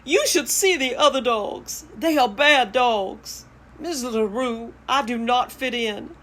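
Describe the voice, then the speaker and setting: Pampered male dog voiced by a woman, indignant, pleading, raised pitch, storybook reading. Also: slow, fairly bright tone, full character voice. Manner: wounded, pleading.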